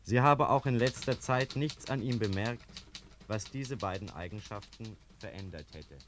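An actor in a 1950s German radio play as a police investigator giving a measured, formal, deliberate report. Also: male voice, fairly low pitch, fairly bright tone, close to an everyday voice. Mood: matter-of-fact. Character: dutiful male police investigator reading out his report. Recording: clearly noisy old radio play recording.

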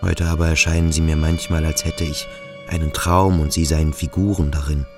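A male actor playing a brooding, introspective schoolboy who questions himself slowly and deliberately in a literary radio drama. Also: extremely low pitch, little pitch movement, music in the background. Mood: brooding, uneasy. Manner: slow, deliberate, self-questioning. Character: brooding, introspective schoolboy pondering his own strangeness.

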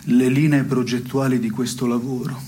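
Male actor as wry absurdist stage man: melancholy, deadpan, medium-pitched, slightly noisy theatre broadcast. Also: normal speed, close to an everyday voice. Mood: deadpan, solemn. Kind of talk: absurdist two-hander theatre dialogue.

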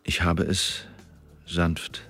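Low-voiced, formal male witness. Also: little pitch movement, extremely slow, gravelly, fairly bright tone, faint music behind, full character voice. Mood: grave, shaken. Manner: formal, precise, emphatically self-exculpating. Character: male witness to a killing, formal, self-justifying report giver. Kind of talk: scripted radio drama monologue, written statement read out.

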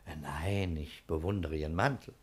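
A shrewd investigator in a 1960s radio crime drama. His voice is very low. He questions people politely and with understatement, always probing a little further. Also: normal speed, slightly rough. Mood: courteous, probing. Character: shrewd, courteous male investigator questioning witnesses.

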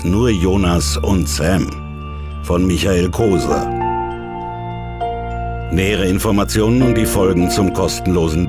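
A man reading scripted closing credits as announcer of a radio play. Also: deep voice.

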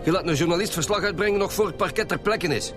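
An actor playing an irritable, bossy Flemish police chief in a radio crime drama, brusque and scolding. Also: male voice, high-pitched, quick pace, over music, natural voice. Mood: angry. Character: gruff, irritable male chief detective, bossy.

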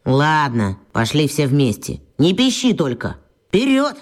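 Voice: mid-range voice, huge pitch swings, unhurried. A brave schoolboy leader. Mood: bossy. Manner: decisive, apologetic to adults. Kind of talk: radio play acting.

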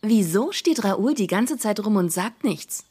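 A woman's voice: medium pitch, expressive, unhurried, darkish tone, breathy edge. A woman voicing a vain female healer who is obsessed with bags, in a parody audio drama, talking in a chirpy, self-satisfied way.

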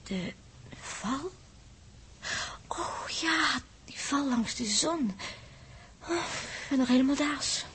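Shaken young woman in old sixties radio drama, highish voice, halting and breathy, slight hiss. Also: lively intonation. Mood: agitated, dazed. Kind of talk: 1960s Dutch radio play acting. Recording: noisy old 1960s radio recording.

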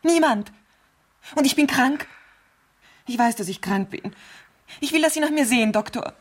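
An actress playing a nervous, demanding wealthy invalid wife, imperious and self-pitying, in a 1970s radio crime drama. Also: female voice, sits high, expressive, normal speed. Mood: agitated, demanding.

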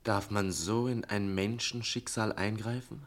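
A man's voice: lowish voice, steady tone, measured pace, natural voice. An actor playing a troubled, deferential man who probes insistently and earnestly in a German radio play. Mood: probing, subdued. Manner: earnest, polite, probing. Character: deferential male listener, troubled and insistently questioning.

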